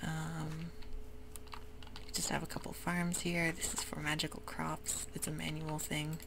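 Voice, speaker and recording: A woman whispering ASMR gameplay commentary in a soft, rambling way with many ums. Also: sits low, flat delivery, unhurried, creaky, heavy background noise. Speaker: gaming ASMR host.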